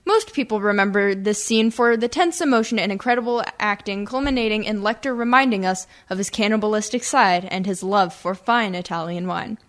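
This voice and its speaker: A woman's voice: mid-range voice, a little fast, hardly any pauses, fairly bright tone. A woman hosting a radio comedy, reading scripted introductions. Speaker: host.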